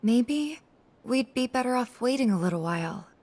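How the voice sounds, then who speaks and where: An actress playing a caring young woman and loyal friend in a video game, speaking softly and hesitantly in a husky voice. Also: a little high, expressive, moderate pace. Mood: hesitant, gentle. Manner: hesitant, pleading. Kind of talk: dramatic video game cutscene acting.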